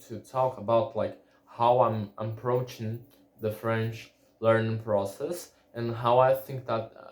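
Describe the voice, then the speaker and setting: A man whose first language is Portuguese, talking casually in a solo vlog about learning a language, halting and restarting often. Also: mid-range voice. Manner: halting, many restarts and fillers. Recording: echoey room.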